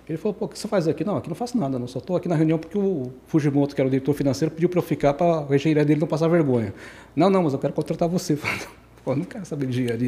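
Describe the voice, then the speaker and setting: A man's voice: on the high side, vocal fry, dark tone. A man in his sixties, raised in Brazil, telling his life story as a podcast guest, rambling and correcting himself as he goes. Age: 60s. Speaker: guest engineer. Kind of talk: casual podcast interview.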